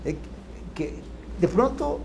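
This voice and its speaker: Older man, hesitant and lively. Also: sits high, slow pace, vocal fry, crowd noise. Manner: hesitant, many restarts and fillers. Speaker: panelist. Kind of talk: casual chat.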